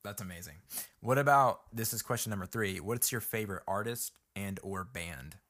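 Brief: male host, lowish voice, brisk and friendly icebreaker questions. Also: fairly bright tone. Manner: upbeat, chatty, quick asides. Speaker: podcast host. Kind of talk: casual interview questions. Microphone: video call.

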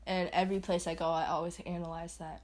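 A young woman hosting a casual solo podcast, chatty and rambling, laughing at herself and swinging into higher playful voices. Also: level tone, quick pace, darkish tone. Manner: swings into high put-on voices. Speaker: podcast host.

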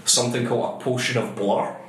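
Casual game master. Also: male voice, medium pitch, steady tone, quick pace, a bit bright. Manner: casual, swearing, lots of ums. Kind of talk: narrating a tabletop game. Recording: echoey room, faint noise.

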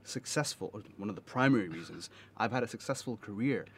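A young man from Pakistan with a softened Pakistani English accent and an American lilt, talking casually and animatedly with many fillers. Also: fairly low pitch, animated, quick pace, husky. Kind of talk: casual podcast chat.

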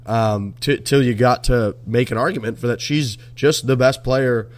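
A man hosts a sports podcast in an easy, animated way, swearing as he talks. His voice sits at a middle pitch for a man. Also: moderate pace. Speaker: podcast host. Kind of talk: casual sports chat.

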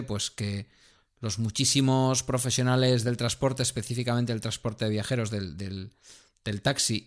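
A man from co-hosting a podcast in casual chat, talkative, playful and measured. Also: mid-range voice. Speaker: podcast co-host.

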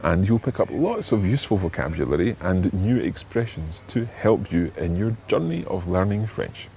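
A man's voice: deep voice, expressive, moderate pace, mellow, a little hiss or hum. A man presenting a scripted introduction to a language podcast.

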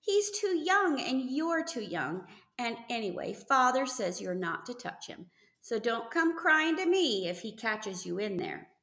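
A woman's voice: fairly high pitch, big pitch swings, unhurried, fairly bright tone, reverberant, voice pushed up, exaggerated voice. A woman voicing a worried farm mother in a storybook reading, admonishing and weary with her teenage son. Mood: scolding.

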